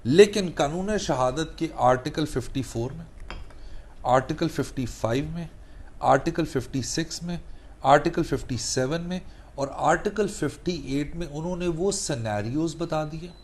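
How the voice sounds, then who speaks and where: A man's voice: fairly high pitch, expressive, normal speed. A man with a Pakistani accent explaining law in a didactic, conversational lecture, mixing in English legal terms. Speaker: lawyer and legal trainer, the guest lecturer.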